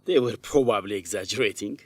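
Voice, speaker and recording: An actor playing a modest, reticent young Ethiopian student journalist in an educational radio drama. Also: male voice, on the low side, fairly quick, a little mellow, breathy edge, no room sound. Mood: guarded, modest.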